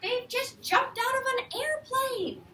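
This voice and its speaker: A woman with a high voice reads aloud as a teacher to a group of young children. She is expressive and patient, stopping to encourage them with questions. The sound is roomy and picked up from a distance, with a little noise behind it. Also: slow pace. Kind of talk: animated picture-book read-aloud with questions to children. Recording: roomy, distant mic, faint background noise.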